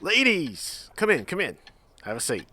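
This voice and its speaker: Man playing a lively, jovial frontier mine boss. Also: sits high, measured pace, heavily acted. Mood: welcoming. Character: jovial, avuncular Old West mine boss. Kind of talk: audio drama acting.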